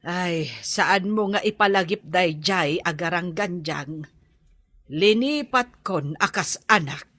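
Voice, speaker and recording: A woman's voice: lowish voice, takes it slowly, fairly bright tone, no echo. A woman with a Filipino accent playing a bitter, unforgiving older mother in a radio drama. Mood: bitter, scolding.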